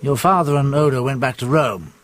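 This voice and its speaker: An actor playing a knowing storyteller who narrates Roman intrigue in a radio drama in a measured way. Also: male voice, medium pitch, fairly bright tone, natural voice. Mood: knowing, reflective.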